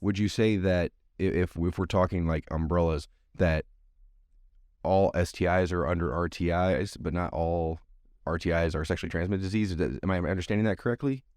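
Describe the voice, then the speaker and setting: Gravelly hoarse guy hosting a podcast, super low voice, chatty and rambly. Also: moderate pace, darkish tone, dry recording, clean studio sound. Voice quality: gravelly, scratchy. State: hoarse from allergies. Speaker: podcast host.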